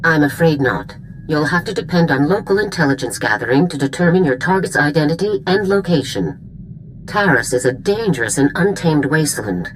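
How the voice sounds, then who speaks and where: A businesslike woman general in a game cutscene. Her voice is deep and strongly inflected, with commanding authority. A faint ambient noise sits behind her. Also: lively intonation, fairly quick, poor audio. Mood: stern, businesslike. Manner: crisp military briefing, commanding. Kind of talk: video game cutscene acting.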